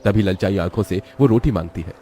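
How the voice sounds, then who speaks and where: A man narrates a children's moral story with dramatic delivery. His voice is fairly low and he moves along quickly over faint music. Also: warm tone.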